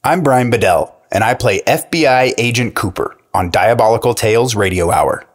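A man's voice: mid-range voice, moderate pace, music in the background. A man, an actor, speaking straight to listeners in a scripted appeal. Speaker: actor on the show.

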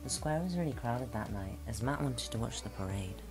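A woman playing a subdued young theft witness in an amateur animated film. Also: extremely low pitch, brisk, over music. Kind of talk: scripted amateur dramatic dialogue.

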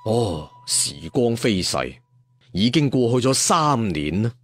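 A man's voice: medium pitch, very animated, slow. A man with a Hong Kong Cantonese accent narrating a story dramatically and colloquially, chatting to listeners with rhetorical asides. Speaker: audiobook storyteller. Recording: music bed behind the voice.

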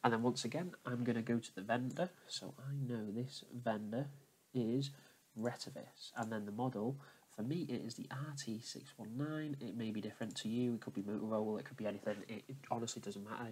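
A man talking viewers through a software tutorial step by step, in an informal, explanatory manner. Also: mid-range voice, a little fast, slight background noise, rough recording. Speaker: tutorial host. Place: indoors at a computer, voice over screen capture.

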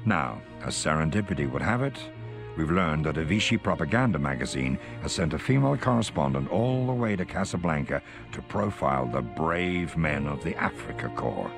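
Gruff middle-aged intel colonel in a war game, super low gravelly voice, formal and polite, music behind. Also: male voice, expressive, heavily acted. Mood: formal, frank. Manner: formal, measured military courtesy. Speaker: intelligence officer briefing an agent. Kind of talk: scripted game cutscene briefing.

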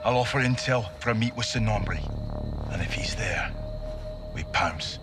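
An actor playing a determined young special forces sergeant in a video game. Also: male voice, on the low side, normal speed, over music, natural voice. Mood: resolute.

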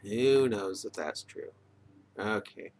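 A man's voice: lowish voice, slow pace, a little mellow. A middle-aged man talking casually into a webcam microphone at home, hesitant and often trailing off. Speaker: video maker. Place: indoors, wood-panelled room at home. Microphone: computer or webcam microphone, near arm's length. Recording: noisy webcam microphone with room ambience.

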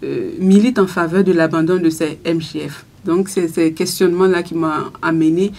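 A young woman radio journalist answering interview questions in a small radio studio, thoughtful, with frequent pauses and restarts. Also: lowish voice, steady tone. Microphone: studio microphone on a stand.

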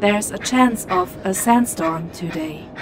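A woman's voice: medium pitch, slow pace, a crowd in the background, close to an everyday voice. An actress playing an affectionate woman in a sci-fi audio drama, speaking tenderly. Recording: distant, with loud roaring background noise.

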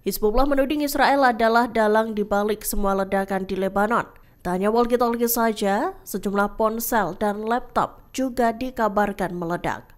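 A woman reads scripted news narration as a presenter, in a formal, measured manner. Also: medium pitch.

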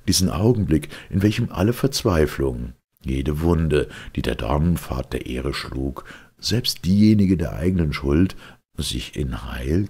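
A man narrating a literary story with solemn, theatrical weight and a gravelly, husky voice. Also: rumbling low. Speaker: audiobook narrator.

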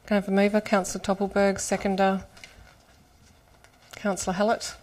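A woman's voice: medium pitch, monotone, darkish tone, rough recording. A woman chairing a council meeting as mayor, speaking with some hesitation and fillers into a desk microphone in a large chamber. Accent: Australian. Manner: brisk procedural, then hesitant in debate.

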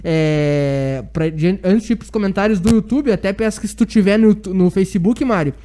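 A man with a noticeably high-pitched voice and a southern Brazilian gaúcho accent. He talks as a sports radio host would on a live show, informal but courteous with guests and listeners. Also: normal speed, warm tone.

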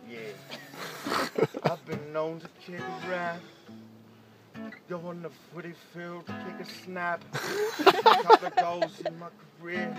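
Young aussie bloke freestyling, highish voice, slow loose delivery, giggles a lot, guitar strumming behind. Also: male voice. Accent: Australian. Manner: loose, giggly and crude, making up rhymes on the spot. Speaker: amateur rapper. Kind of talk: freestyle rapping over guitar. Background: acoustic guitar playing.

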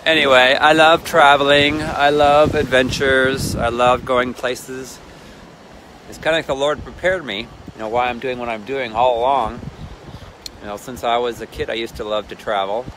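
A middle-aged man rambling cheerfully into his phone at arm's length, drifting from one thought to the next. He sounds cold, standing outdoors by the water. Waves and gusts of wind are clearly heard behind his mid-pitched voice. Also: steady tone, normal speed, fairly bright tone. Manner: chatty, wandering, self-mocking. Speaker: vlogger and ministry leader. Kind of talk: casual rambling selfie vlog. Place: outdoors on a windy lakeshore with waves. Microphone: phone held at arm's length. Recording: wind and surf noise on phone audio.

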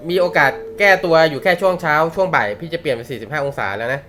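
A man's voice: on the high side, lively intonation, racing, a bit bright, soft background music. A young man teaching a manga class in a casual, joking way, laughing often and using slang. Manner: chatty, laughs often, uses slang and swears. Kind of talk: casual live class talk.

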